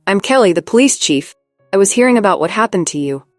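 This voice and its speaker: A woman voicing a female police chief who speaks softly yet firmly. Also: mid-range voice, lively intonation, quick pace, no echo, studio quality, faint music behind, natural voice. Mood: polite. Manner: soft yet firm.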